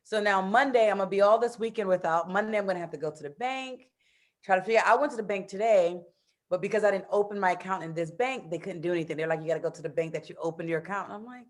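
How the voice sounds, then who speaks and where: An American woman in her thirties chatting in an interview, animated, laughing often and using plenty of fillers. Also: medium pitch, racing. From United States. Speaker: interview guest, expat in Brazil. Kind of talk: casual interview chat.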